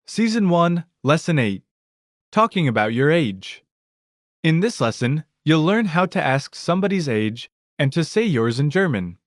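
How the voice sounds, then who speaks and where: A man's voice: fairly high pitch, no room sound, studio quality. A man narrating a language lesson audiobook, announcing each word in a fixed scripted pattern.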